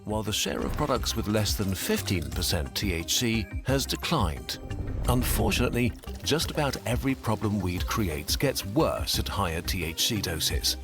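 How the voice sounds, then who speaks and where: A man giving scripted explainer narration with a lively, clear delivery. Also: on the low side, normal speed. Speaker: educational video narrator. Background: background music.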